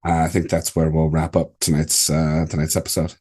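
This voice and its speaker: A man narrating as game master of a tabletop role-playing game, in a relaxed, hesitant way with fillers. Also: rumbling low, normal speed, breathy edge.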